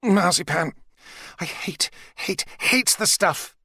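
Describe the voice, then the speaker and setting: A man's voice: sits high, expressive, slow pace, sharp tone, breathy edge, dry recording, pitched above the performer's own voice, heavily acted. A man voicing a blunt, exasperated young woman with a curt, dry manner in an audiobook. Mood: disgusted.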